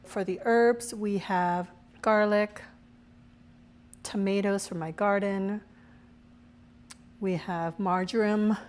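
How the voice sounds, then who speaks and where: A woman hosting her own cooking show, her voice a little raspy as she recovers from a cold, chatting through each step as she cooks. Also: mid-range voice, steady tone, takes it slowly, long pauses. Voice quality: slightly raspy. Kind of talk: casual cooking demonstration.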